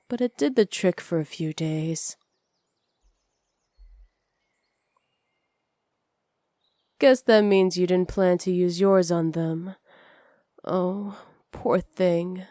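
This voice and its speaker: A woman playing a flirty, cruel evil witch in a soft ASMR roleplay, teasing and smug, close to the mic. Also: fairly low pitch, no room sound, close to an everyday voice. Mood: mocking amusement. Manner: teasing, smug, unhurried, often dropping to near-whispers. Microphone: close microphone, dry.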